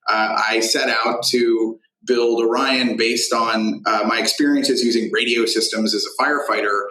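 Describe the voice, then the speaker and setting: A man being interviewed as a tech founder, explaining his business in halting phrases with frequent pauses. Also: medium pitch, steady tone, sharp tone, reverberant, poor audio.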